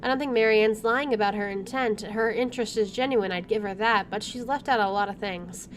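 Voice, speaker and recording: A woman's voice: medium pitch, fairly quick, slight background noise. A woman hosting a let's play, reading visual novel narration aloud, stumbling over words now and then and adding side comments.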